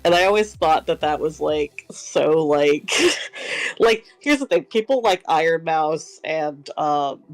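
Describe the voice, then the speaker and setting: Deep-voiced woman with a gravelly edge. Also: lively intonation, takes it slowly, dry recording, low-quality recording. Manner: hesitant, many fillers. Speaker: guest streamer. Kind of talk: casual chat.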